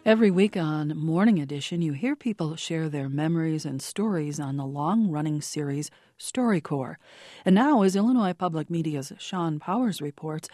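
A man hosting a radio news program, reading weather and introducing stories in a steady announcer way. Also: a little high, dry recording, clean studio sound.

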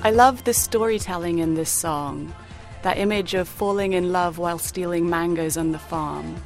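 A woman's voice: fairly low pitch, moderate pace, over music. A woman with a British-Kenyan accent, a radio producer telling personal stories about songs. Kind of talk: scripted radio segment.

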